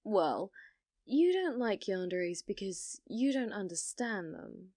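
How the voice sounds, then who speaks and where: A woman performs a possessive, flirty yandere on a paid date, in intimate ASMR style. Her delivery is slow and deliberate, teasing and coy, at a medium pitch for a woman. The sound is very dry, with no room around the voice. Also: big pitch swings, mellow, no echo, exaggerated voice. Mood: playful, coaxing. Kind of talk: ASMR roleplay.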